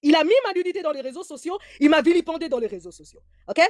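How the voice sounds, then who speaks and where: A woman's voice: high voice, lively intonation, very fast, darkish tone, dry recording, studio quality. A woman giving heated live commentary in an emphatic, repetitive way, stammering as she restarts, whose first language is German. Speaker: online commentator.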